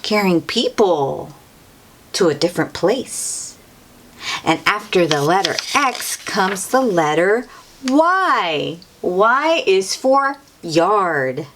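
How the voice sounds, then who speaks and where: A woman's voice: medium pitch, sing-song, slow, a bit bright, a little hiss or hum. A woman hosting a children's read-aloud, reading a picture book in a teacherly way, repeating and stressing key words and talking directly to the young listener.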